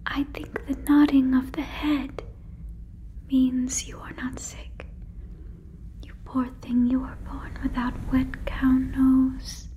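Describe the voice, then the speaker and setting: A woman playing a gentle, curious, naive female alien in an ASMR roleplay, in a breathy whisper with stilted, formal, careful phrasing, close on the microphone. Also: sits high, completely flat delivery, takes it slowly, muffled recording. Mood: tender, helpful. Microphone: close fluffy microphone.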